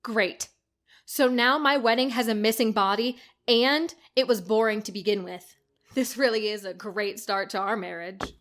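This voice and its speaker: A woman's voice: a little high, fairly even intonation, moderate pace, a bit bright, natural voice. A woman playing an irate, sarcastic, demanding bride in an audio drama. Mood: bitterly sarcastic.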